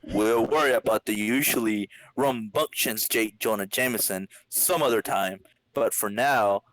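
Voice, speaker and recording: A man's voice: on the low side, a little slow, fairly bright tone, rough recording. A man reading scripted comic-book narration dramatically, as a podcast narrator.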